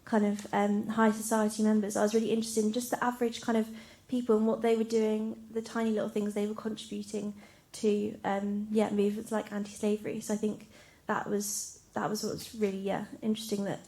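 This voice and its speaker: A woman's voice: mid-range voice, level tone, fairly quick, mellow. A young woman informally explaining her research as a student panelist. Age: young adult.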